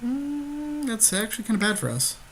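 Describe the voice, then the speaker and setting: A man's voice: very high-pitched, big pitch swings, moderate pace, a bit bright, husky. A man chatting casually as co-host of a card game session, agreeable and conversational as he comments on plays. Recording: amateur capture with faint background noise.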